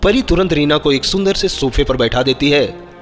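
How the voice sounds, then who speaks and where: A man's voice: fairly high pitch, animated, normal speed. A man narrating a scripted moral story for children as a storyteller. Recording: long reverb, music bed.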